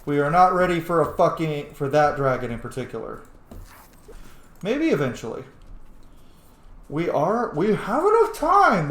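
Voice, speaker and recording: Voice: mid-range voice, echoey room. A gaming streamer giving casual, chatty playthrough commentary, playful and full of swearing.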